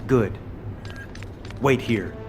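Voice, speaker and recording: An actor playing a gruff, blunt but kindly cook and fighter in a video game. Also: male voice, mid-range voice, animated, drawn-out words, over music. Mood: irritated.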